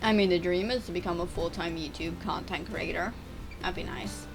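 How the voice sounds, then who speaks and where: A woman's voice: moderate pace, over music. Stammering, chatty young gaming commentator girl. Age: teens. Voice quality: voice getting deeper and rougher over time. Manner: chatty, stammering, restarts words. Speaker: gaming YouTuber. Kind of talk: rambling gameplay commentary.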